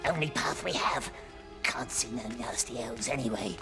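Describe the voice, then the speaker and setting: A woman's voice: extremely low pitch, normal speed, over music. A woman voicing a wretched, sly creature that hisses and whispers and calls himself "we". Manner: hissing whispers, talks of himself as we. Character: Gollum, wretched hissing creature, cringing and sly.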